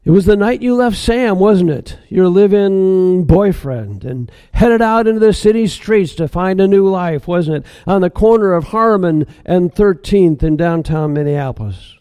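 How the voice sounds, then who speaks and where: A compassionate stranger who presses a woman with pointed questions, as a male preacher acts him out. The voice is high and warm-toned, and earnest. It sounds close and dry. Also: animated, a little slow, hardly any pauses, clean tone, no room sound. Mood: probing.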